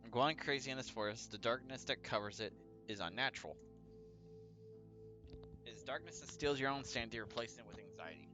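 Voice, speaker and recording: A man's voice: mid-range voice, expressive, a little fast. A man reading a visual novel aloud as a let's player, stumbling over words now and then, with casual asides. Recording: music behind the voice. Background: music.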